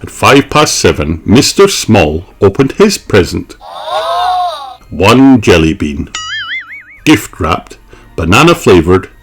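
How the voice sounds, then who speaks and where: A man reads a storybook for children in a deep voice at a leisurely pace. His delivery is highly animated, leaning theatrically on key words, with faint music underneath. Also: takes it slowly, long pauses. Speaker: storybook reader. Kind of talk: expressive children's story read-aloud.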